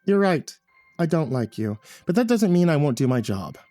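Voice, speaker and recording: A man's voice: a little high, sing-song, normal speed, warm tone, dry recording, soft background music, voice pushed up. A man voicing a brash, foul-mouthed tough woman in an audiobook, barking and sardonic, with scornful teasing. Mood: sardonic, reassuring. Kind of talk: audiobook character voice.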